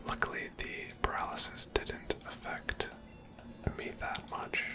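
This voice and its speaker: Soft whispered ASMR game commentary. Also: unhurried, loud music behind the voice. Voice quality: breathy, unvoiced whisper. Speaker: video game streamer narrating own playthrough.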